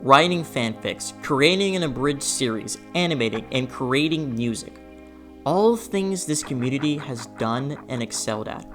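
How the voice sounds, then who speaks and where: A person delivering a scripted, opinionated video essay in an expressive, emphatic way with conversational asides. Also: medium pitch, normal speed. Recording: music behind the voice. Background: background music.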